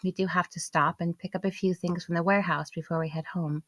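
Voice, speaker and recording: A woman voicing a gentle, affectionate mother in an audiobook, speaking in an encouraging, sing-song parental tone. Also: on the low side, fairly even intonation, fast talker, no room sound. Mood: reassuring. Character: gentle, affectionate young mother soothing her small daughter. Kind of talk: audiobook dialogue.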